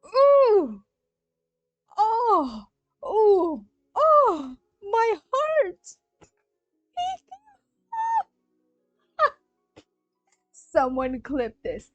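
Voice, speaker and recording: A young woman streaming a game, with a high voice and an unhurried pace. She is excitable and giggly, talking casually to her viewers, recorded close and dry over faint music. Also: dry recording, lo-fi sound. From Malaysia. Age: young adult.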